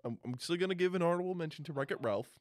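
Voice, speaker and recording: A man co-hosting a casual film discussion, halting and repeating words for emphasis. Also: fairly high pitch, no room sound. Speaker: podcast co-host.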